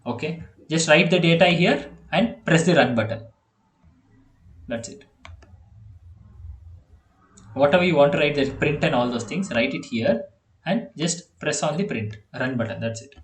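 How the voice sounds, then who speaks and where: A man's voice: on the high side, normal speed. A young man from India, a native Telugu speaker with an Indian accent, tutoring a student over a video call, full of fillers and restarts. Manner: hesitant, many fillers and restarts. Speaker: online coding tutor. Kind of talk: casual online tutoring session. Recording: echoey video call audio.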